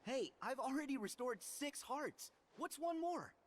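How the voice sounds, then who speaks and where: Earnest teenage hero, lively intonation. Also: male voice, very high-pitched, a little slow, heavily acted. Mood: breezy, confident. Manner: earnest, determined. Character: earnest, stubbornly hopeful teenage boy hero. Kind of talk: video game cutscene voice acting.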